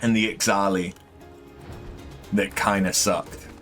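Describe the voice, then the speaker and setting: A man with a fairly deep voice giving casual, hesitant let's-play commentary, throat a bit strained from voicing characters. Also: little pitch movement, a bit bright, soft background music. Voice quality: relatively deep voice. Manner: hesitant, many ums, unhurried. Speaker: gaming streamer.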